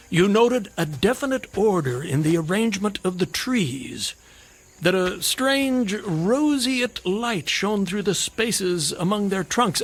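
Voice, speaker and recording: An actor playing a garrulous, whimsical, hard-drinking drifter in a radio drama, with wry, theatrical, wordy phrasing. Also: male voice, high voice, husky, full character voice.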